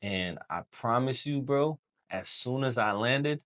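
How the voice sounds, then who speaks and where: A young man from Haiti, a Creole speaker, telling his story as a podcast guest in relaxed slangy chat. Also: mid-range voice, a little slow. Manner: relaxed storytelling, slangy, says bro often. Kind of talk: casual chat.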